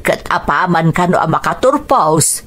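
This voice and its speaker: A woman's voice: lowish voice, expressive, measured pace, fairly bright tone. A woman playing a caring, anxious mother in a radio drama, tender and earnest, with an Ilocano accent. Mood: earnest, concerned.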